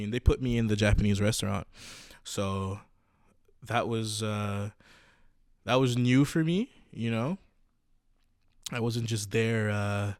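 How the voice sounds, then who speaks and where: A young man in his twenties, a podcast host, telling his own story in a casual, halting way with many restarts and fillers. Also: on the low side, no room sound, studio quality.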